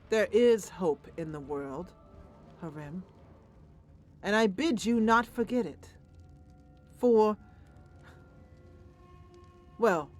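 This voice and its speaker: A woman's voice: medium pitch, a little mellow, lightly acted. A woman voicing a curious, hopeful female adventurer in a fantasy roleplay, thoughtful and earnest.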